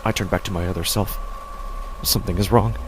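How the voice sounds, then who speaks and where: A man with a fairly low voice reads a first-person horror story in a tense, hushed way. Steady ambient rumble and noise sit under the recording. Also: brisk. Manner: hushed, tense dramatic reading. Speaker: narrator.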